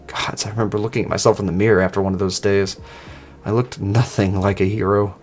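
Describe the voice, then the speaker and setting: Amnesiac ex-assassin woman, played by a man, wistful and hesitant, lowish pitch, faint music beneath. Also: steady tone, fast talker, a little mellow, natural voice. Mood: troubled, bemused. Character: wistful, amnesiac former female assassin, longing for lost friend. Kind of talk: character dialogue in online horror fiction.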